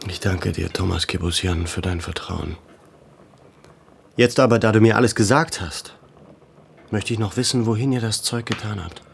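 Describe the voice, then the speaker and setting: A composed gentleman with a fairly low voice in a radio play. He questions in a measured, deliberate way, with faint noise behind him. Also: gravelly. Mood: composed, probing. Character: composed, probing Armenian gentleman, addressed as effendi. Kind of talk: radio drama acting.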